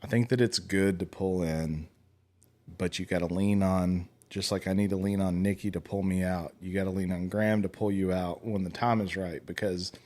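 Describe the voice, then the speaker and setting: A man's voice: sits low, fairly even intonation, normal speed, darkish tone. A man in his forties hosting a podcast as a casual, blunt, joking chat. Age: 40s. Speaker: podcast host.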